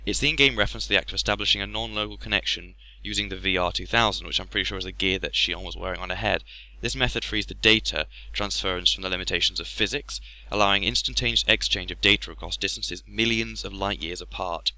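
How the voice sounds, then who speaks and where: A man with a low but very bright, forward voice explains a game informally. The recording is close and dry. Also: quick pace, few pauses, no room sound, poor audio. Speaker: gaming video commentator.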